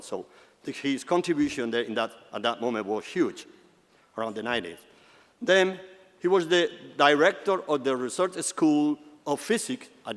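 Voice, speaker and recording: Halting man introducing a speaker. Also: medium pitch. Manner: halting, with many restarts. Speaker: session chair. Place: large auditorium stage. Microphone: far room pickup, at podium. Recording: reverberant lecture hall.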